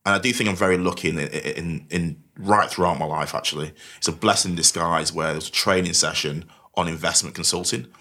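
A man's voice: low voice, steady tone, a little fast, hardly any pauses, bright, forceful tone. A man with a diluted Mancunian accent, telling his life story as an interview guest and often repeating and rephrasing his words. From Manchester, England. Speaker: podcast guest, investment professional. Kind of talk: candid conversational interview, telling life stories.

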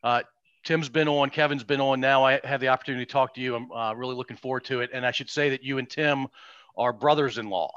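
A man's voice: medium pitch, steady tone, quick pace, a bit bright, clean tone, no room sound. Dry, dull-sounding male podcast host. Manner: friendly, hesitant with fillers. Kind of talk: casual interview chat.

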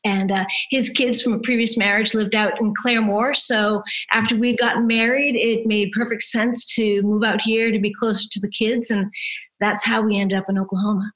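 A woman in her fifties from New Hampshire, a photographer chatting as an interview guest, talkative and quick to laugh. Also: medium pitch, rough recording. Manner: chatty, laughs often, lively quoted voices. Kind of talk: casual interview chat.

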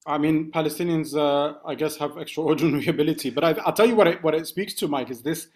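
Measured British male journalist. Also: on the high side, darkish tone. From United Kingdom. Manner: measured, detailed recounting. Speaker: guest journalist. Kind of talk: podcast interview.

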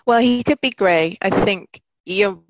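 A woman's voice: mid-range voice, unhurried, no room sound, muffled recording. A woman co-hosting a podcast, chatting casually with hesitant fillers and self-mocking jokes. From Britain. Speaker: podcast co-host. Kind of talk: casual chat.

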